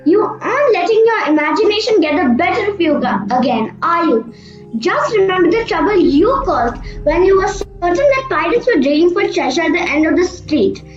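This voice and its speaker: An echoey, muffled recording of a woman acting as a very high-voiced young girl in a radio play. She is practical and bossy, with lively swings as she scolds her brother. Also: moderate pace, music in the background. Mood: scolding, insistent. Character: sensible, bossy young sister lost in a forest.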